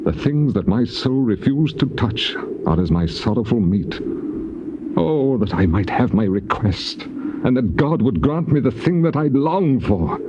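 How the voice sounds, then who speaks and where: A man's voice: mid-range voice, big pitch swings, unhurried. An actor playing an anguished, grief-stricken man lamenting in declamatory style in a dramatized Bible reading. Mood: desperate pleading. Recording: muffled, noisy old cassette tape.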